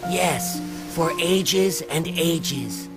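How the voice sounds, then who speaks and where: Tiny ancient game creature, gentle and earnest, very slow. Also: female voice, on the low side, over loud music. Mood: tender. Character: small, sweet, ancient fairy-like creature.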